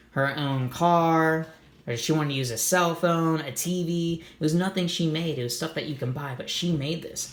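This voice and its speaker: A man's voice: on the high side, fairly bright tone, roomy sound. A man reading a children's storybook aloud, adding simple, encouraging comments for young listeners. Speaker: read-aloud host for children.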